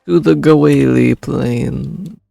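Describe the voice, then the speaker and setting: A man's voice: mid-range voice, drawn-out words, gravelly, warm tone, no room sound, studio quality, faint music behind. A man giving casual, slangy, laid-back reactions as he streams a game. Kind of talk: casual gameplay reaction commentary.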